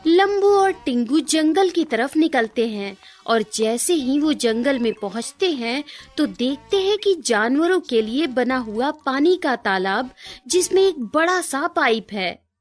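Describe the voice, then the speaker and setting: A woman's voice: high voice, animated, a little slow. A woman narrating a scripted children's cartoon story.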